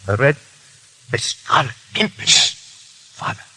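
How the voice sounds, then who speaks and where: An actor playing a condemned French count, weary and dignified, speaking haltingly and hushed in a 1930s radio drama. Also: male voice, mid-range voice, big pitch swings, breathy edge, heavily acted. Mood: bewildered. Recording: hissy 1930s radio broadcast.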